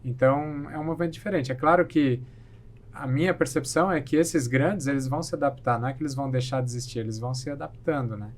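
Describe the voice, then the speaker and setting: A man talking as a guest on a podcast, with a voice of average pitch. He speaks thoughtfully and hesitantly, often restarting mid-sentence as he works out his point. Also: quick pace. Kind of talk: casual chat.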